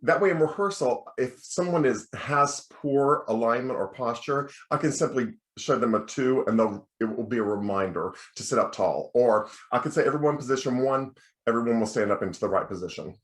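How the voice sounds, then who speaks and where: Male American lecturer, children's choir teacher, mid-pitched speaking voice, encouraging instructional delivery, audibly echoey recording. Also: lively intonation, fairly quick. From United States. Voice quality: bass singing voice. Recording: roomy, echoing sound.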